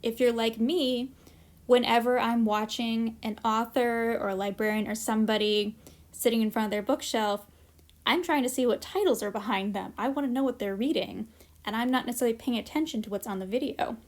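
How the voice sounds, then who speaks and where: A woman's voice: fairly high pitch, a little fast. A woman presenting an informal instructional workshop, explaining practical tips in a conversational way with casual asides.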